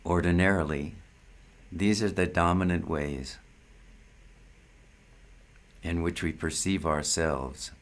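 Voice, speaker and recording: A man with a deep, low voice teaches at a very slow pace. He delivers a meditative spiritual talk in short, deliberate phrases, leaving long pauses between them. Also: fairly even intonation. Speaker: spiritual teacher leading satsang.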